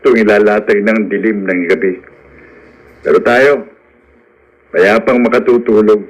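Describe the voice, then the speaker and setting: Frank, practical Filipino radio drama friend. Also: male voice, lowish voice, low-quality recording. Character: poor, practical, frank male friend giving firm advice.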